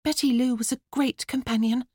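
A woman's voice: a little high, fairly even intonation, moderate pace, darkish tone, no room sound, studio quality, pitched above the performer's own voice, lightly acted. A woman voicing an earnest, practical young girl with a mock-solemn manner in an audiobook story. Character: matter-of-fact, earnest, morbid young girl. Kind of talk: audiobook character voice.

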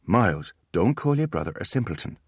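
A man's voice: low-pitched, sing-song, brisk, husky, dry recording, clean studio sound, full character voice. A man voicing a clever teenage boy patiently lecturing his little brothers.

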